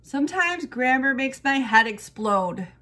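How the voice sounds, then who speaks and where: A woman's voice: high voice, expressive, measured pace, sharp tone, voice pushed up, full character voice. A woman voicing a feisty, stubborn young schoolgirl in a storybook, with childish grammar and emphatic delivery. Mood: sheepish.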